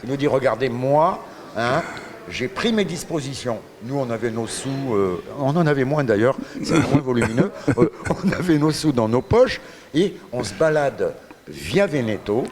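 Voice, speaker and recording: A man's voice: mid-range voice, normal speed. Older french guy spinning funny travel stories, chuckling, chatter in the background. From France. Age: 60s. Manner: lively anecdotal storyteller, laughs often. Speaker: guest. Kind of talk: storytelling interview.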